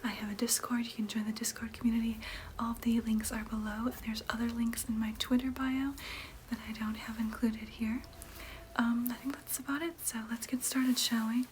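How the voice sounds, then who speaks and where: Softly whispering woman, ASMR host. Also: on the high side, little pitch movement, normal speed, slight background noise. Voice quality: soft, breathy unvoiced whisper.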